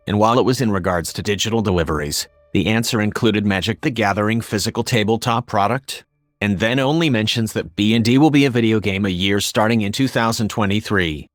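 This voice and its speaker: A synthetic text-to-speech male voice reading scripted commentary as a video host, in a flat, measured way. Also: fairly low pitch, no echo. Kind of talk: scripted narration.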